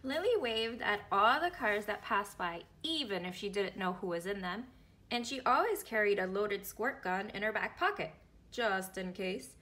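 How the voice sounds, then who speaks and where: Bright, animated woman reading a storybook. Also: medium pitch, normal speed. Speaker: read-aloud storyteller. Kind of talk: expressive picture-book reading for children.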